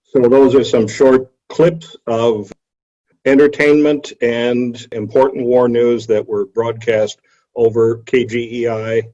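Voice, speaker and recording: A man's voice: mid-range voice, level tone, slow pace, dark tone. A man giving an explanatory history lecture in a somewhat hesitant manner, recorded over a video call. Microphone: video call microphone. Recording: dull video call audio.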